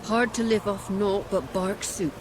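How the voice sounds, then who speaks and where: A woman's voice: medium pitch, fairly even intonation, unhurried, background noise, natural voice. A woman playing a careworn, blunt old peasant grandmother in a video game. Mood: weary, pleading. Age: older. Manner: rustic, scolding, blunt. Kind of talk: video game cutscene acting.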